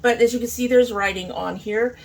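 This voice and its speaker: A woman's voice: a little high, steady tone, fairly quick, gravelly. A woman talking informally to camera as a beauty vlogger, thinking aloud in a casual makeup review.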